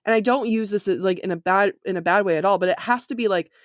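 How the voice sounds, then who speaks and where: A woman co-hosting a podcast in a casual, chatty way, excitable and giggly, often acting out her own reactions with plenty of "like". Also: mid-range voice, fast talker, dry recording, clean studio sound. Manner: informal, peppered with "like". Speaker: podcast co-host. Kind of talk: casual, chatty book discussion.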